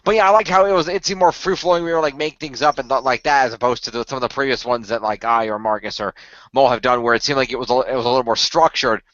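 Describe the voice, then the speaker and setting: Fast-talking man in a dull dry call. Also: medium pitch, fairly even intonation, fairly bright tone, no echo. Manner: rambling, self-correcting, quick talker. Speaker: radio play cast member. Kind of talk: casual group discussion.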